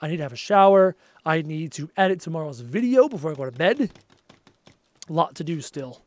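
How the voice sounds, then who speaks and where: A man with a high voice chats casually through a live game stream, talking loosely and getting excited over viewer messages. His voice is wearing out and his energy is flagging. The sound is dry and close, at a home desk. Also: a little fast, no echo. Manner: chatty, reads out viewer messages, easily excited. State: losing his voice, low on energy.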